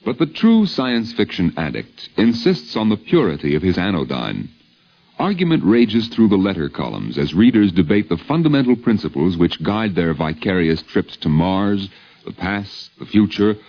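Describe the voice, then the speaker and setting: A man's voice: deep voice, very animated, normal speed. A man narrating a scripted 1950s radio documentary in a polished, formal style with a wry literary turn. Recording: dull lo-fi 1950s radio recording.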